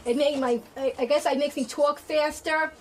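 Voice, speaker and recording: A middle-aged woman, a loud, fast-talking radio guest who is blunt and swears freely. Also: high-pitched, steady tone, a little hiss or hum. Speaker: guest, internet pet show host. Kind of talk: casual radio chat.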